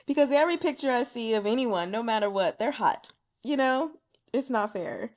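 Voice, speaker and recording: A woman hosting a book vlog, giving casual, chatty commentary from her notes in an animated, slangy way with giggly asides. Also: a little high, normal speed.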